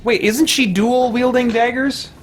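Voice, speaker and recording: A man's voice: very high-pitched, fairly even intonation, measured pace. A man giving casual gameplay commentary, chattily thinking aloud as he plays. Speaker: let's play host. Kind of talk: casual gameplay commentary with muttered self-talk. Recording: noisy, game sound and music behind voice. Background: video game sound and music.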